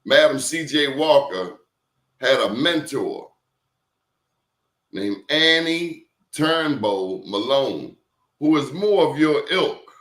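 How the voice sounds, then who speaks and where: A man's voice: mid-range voice, expressive, slow, long pauses, a bit bright, reverberant. A man talking as a professor guest in casual conversation, telling emphatic stories and throwing out rhetorical questions.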